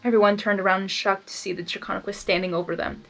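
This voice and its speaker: A woman reading fan fiction aloud unrehearsed, animated, with stumbles and laughs. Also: mid-range voice, fast talker, vocal fry, faint music behind. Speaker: reader.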